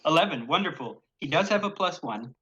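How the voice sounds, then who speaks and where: A man's voice: a little high, animated, a little fast, pushed and bright, muffled recording. A man narrating as dungeon master in a tabletop game stream, rambling with many fillers and chuckles. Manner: rambling, hesitant, frequent fillers and laughter.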